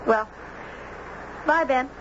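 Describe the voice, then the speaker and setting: High-voiced breezy teenage girl, radio drama. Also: drawn-out words. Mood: curious. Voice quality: clear, young voice. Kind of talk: old radio drama acting. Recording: noisy, dull old radio broadcast recording.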